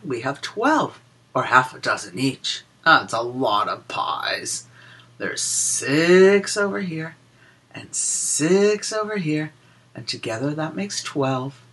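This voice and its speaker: A woman reading a picture book aloud to young children in a sing-song, deliberate way, explaining and counting as she goes. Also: very deep voice, fairly bright tone, breathy edge. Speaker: children's story reader and teacher.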